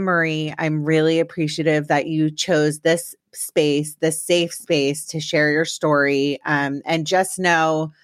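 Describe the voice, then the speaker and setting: A woman hosting an interview, gentle and supportive, speaking with some hesitation. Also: sits low. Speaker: host. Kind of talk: interview podcast.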